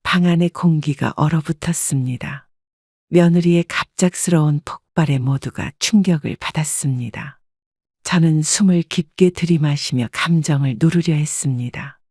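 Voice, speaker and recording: A woman narrates a scripted first-person family story. She keeps a calm, steady storytelling pace in a dry, close recording with no room around her. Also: deep voice, normal speed, dry recording.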